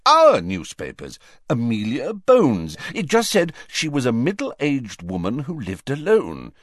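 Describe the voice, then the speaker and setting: Man acting an indignant, exasperated prime minister, very animated. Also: mid-range voice, a little slow, no echo, clean studio sound, pitched above the performer's own voice. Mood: puzzled. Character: nervous, indignant, exasperated male head of government.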